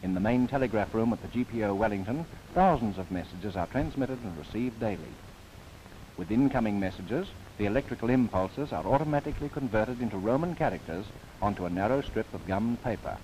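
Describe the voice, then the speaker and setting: A man's voice: on the low side, expressive, a little fast, a little mellow, slight background noise, poor audio. A man narrating a scripted 1930s government documentary in formal, theatrical phrasing with wry asides, on an old film soundtrack.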